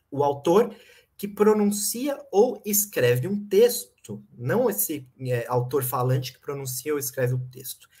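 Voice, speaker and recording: A man in his thirties with a Brazilian Portuguese accent, giving a lively, ironic online lecture on philosophy from a home room with bookshelves, speaking to a desk webcam. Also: fairly high pitch, slightly rough. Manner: lively, ironic, explains and jokes with the audience. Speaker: philosophy lecturer and channel host.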